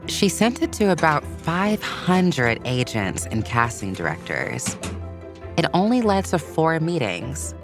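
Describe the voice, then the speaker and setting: A woman's voice: sits low, sing-song, slow pace. A woman reading a scripted children's story as a narrator. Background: music.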